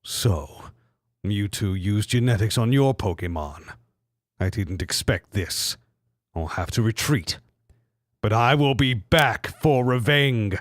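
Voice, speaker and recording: A man's voice: on the low side, animated, takes it slowly, dry recording. Guy doing a evil gloating monster boss, pompous threats, mock dramatic fanfic voice, real dry sound. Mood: vengeful. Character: menacing villainous Pokemon clone, gloating and vengeful.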